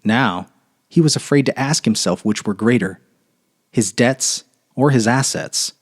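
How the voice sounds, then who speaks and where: A man narrating a short story as a dramatic reading, with theatrical, measured phrasing. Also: mid-range voice, breathy edge.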